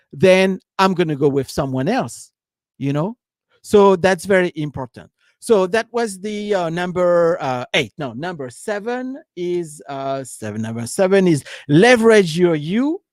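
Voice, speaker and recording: A man's voice: sits high, lively intonation, a little slow, dry recording. Rambling man with a French accent. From France. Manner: rambling, leans on "you know" and "right?". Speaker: business coach giving a live stream. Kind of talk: casual live-stream talk.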